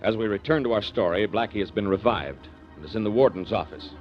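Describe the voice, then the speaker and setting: A man narrating as a radio announcer in a measured, dramatic 1940s style. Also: fairly low pitch. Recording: dull vintage radio recording. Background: music.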